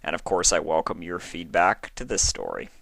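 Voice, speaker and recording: Low-key man, dry close sound. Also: sing-song, moderate pace, vocal fry, fairly bright tone, dry recording. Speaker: fan fiction narrator. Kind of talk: casual sign-off to listeners.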